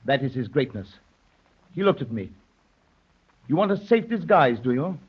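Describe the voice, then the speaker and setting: A man's voice: medium pitch, big pitch swings, normal speed, breathy edge. A man playing a proud, intense anarchist poet, speaking with formal, declamatory self-importance in the manner of 1930s radio drama. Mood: fervent, confiding. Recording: muffled, worn 1930s radio recording.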